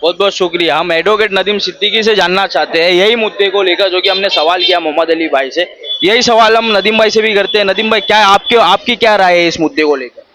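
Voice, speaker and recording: A man's voice: high voice, fast talker, sharp tone. A man working as a street reporter, putting questions to local people in a news interview. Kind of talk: vox pop news interviewing. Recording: faint background noise on location.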